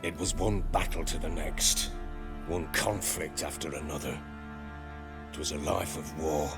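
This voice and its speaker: An actor playing a gruff, bitter, hot-tempered middle-aged baron and soldier in a video game, coarse and blustering in his talk. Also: rumbling low, steady tone, measured pace, music in the background, exaggerated voice. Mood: somber, confessional. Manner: coarse, blustering, profane storytelling. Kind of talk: dramatic video game acting.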